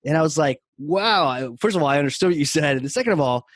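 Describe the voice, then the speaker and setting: A man's voice: a little high, animated, quick pace, no room sound. Man from New Hampshire, podcast co-host, rambling chatty storyteller, laughs a lot, dry close mic. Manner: chatty storyteller, laughs often, restarts phrases. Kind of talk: casual chat.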